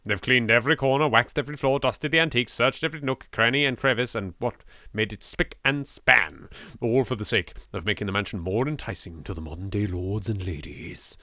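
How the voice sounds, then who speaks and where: A man's voice: on the low side, fairly quick, pushed and bright, no room sound, clean studio sound. A man voicing an anxious, frightened young woman exploring a haunted mansion, reading horror visual novel text theatrically. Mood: brooding, uneasy.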